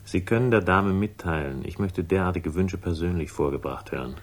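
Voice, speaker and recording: A deep-voiced, cynical investigator, with a creaky edge. He is curt and sardonic, in the manner of sixties German radio crime drama. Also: a little fast. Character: cynical hard-boiled male investigator, wry and brusque.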